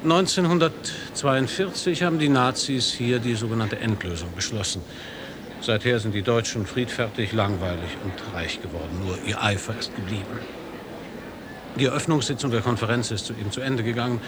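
Man voicing a dry, wry radio reporter, mid-pitched, city noise audible behind. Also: moderate pace, lightly acted. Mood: ironic. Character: American male radio correspondent, dry and ironic. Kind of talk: radio play acting a news report.

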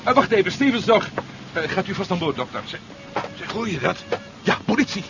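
Decisive, animated expedition leader. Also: male voice, high voice, gravelly, exaggerated voice. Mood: urgent. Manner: brisk, commanding. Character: decisive male expedition leader, commanding and dry. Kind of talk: 1970s radio drama acting. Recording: muffled, noisy 1970s radio recording.

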